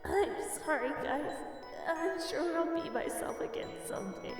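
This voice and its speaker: A woman voicing a brooding talking flower who tells his own tragic hero tale in a melodramatic, deliberate way. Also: very high-pitched, lively intonation. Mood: sorrowful, gentle. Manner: melodramatic, slow, deliberate delivery. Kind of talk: dramatic amateur audio drama. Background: music.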